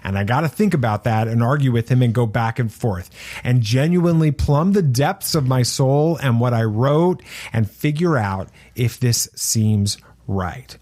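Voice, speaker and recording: A man's voice: medium pitch, darkish tone. A man hosting a casual panel podcast, leading the discussion and repeating words for emphasis. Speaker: podcast host.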